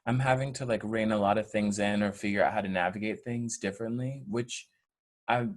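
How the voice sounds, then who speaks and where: Male actor, slightly low register, thoughtful and deliberate, reflective interview delivery. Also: fairly even intonation. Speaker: stage actor.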